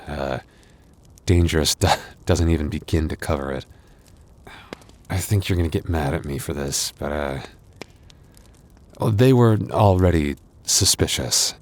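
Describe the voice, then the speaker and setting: A man playing a wounded, tender and protective werewolf boyfriend in an intimate ASMR roleplay, speaking in a halting, softly earnest, weary way. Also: low-pitched, close to an everyday voice. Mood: earnest, confessing. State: weary, hurting.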